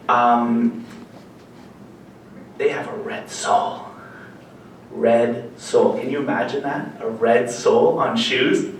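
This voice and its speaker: A man giving a live talk as a lawyer, joking with the audience and often restarting his sentences. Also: mid-range voice. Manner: joking, animated, many restarts and fillers. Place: meeting room with live audience. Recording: echoey hall, mic far off, faint noise.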